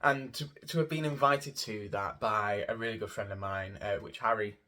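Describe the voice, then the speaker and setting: A man's voice: on the low side, fairly even intonation, normal speed, pushed and bright. A man giving a casual, gushing and rambling review of a theatre show as a vlogger, often repeating words for emphasis. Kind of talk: casual spoken review to camera.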